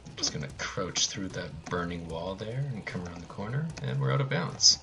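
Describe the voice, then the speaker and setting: Low-voiced male speedrunner, halting, offhand live commentary with dry humour. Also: animated, gravelly, pushed and bright. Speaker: speedrunner commentating own run. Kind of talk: casual live gameplay commentary.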